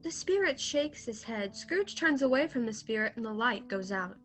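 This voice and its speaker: A woman playing the measured storyteller, reading scripted narration for a radio play recorded over a video call. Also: a little high, very animated, faint music behind.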